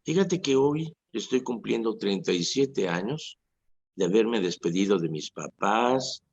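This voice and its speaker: A Mexican man in his sixties with a very low, husky voice preaches on the radio with earnest conviction. He drops into lively quoted asides to make his point. The sound is dull and lo-fi, as in an old radio recording. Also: a little slow. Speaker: priest and radio host.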